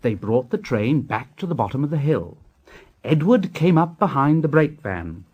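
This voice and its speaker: A man's voice: a little high, sing-song, normal speed, highs cut off, slight background noise. A man reading a scripted children's story as its narrator. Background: music.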